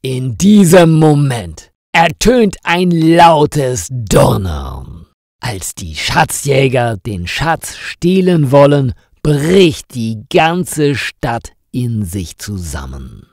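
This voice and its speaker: A man narrating a scripted story for language learners, reading slowly and expressively with dramatic rises. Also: medium pitch, sing-song, darkish tone, no room sound, clean studio sound.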